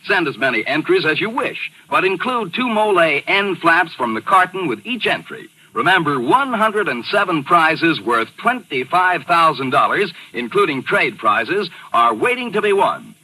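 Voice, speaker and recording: A man's voice: a little high, very animated, moderate pace, hardly any pauses, pushed and bright, breathy edge, rough recording. An American man working as an announcer, delivering an emphatic, upbeat 1940s radio commercial. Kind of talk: 1940s radio advertising pitch.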